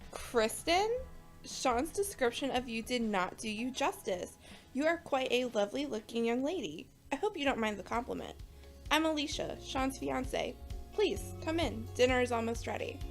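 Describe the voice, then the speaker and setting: A woman's voice: high voice, animated, normal speed, soft background music. A woman playing a polite, welcoming hostess in her early twenties in an audiobook drama.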